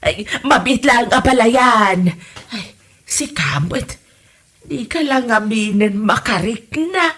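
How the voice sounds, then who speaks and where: A woman's voice: a little high, lively intonation, takes it slowly, a bit bright, breathy edge, exaggerated voice. A woman playing an older Ilocano widow in a comedy drama, coy, huffy and given to teasing exclamations. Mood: coy, yearning. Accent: Filipino, Ilocano.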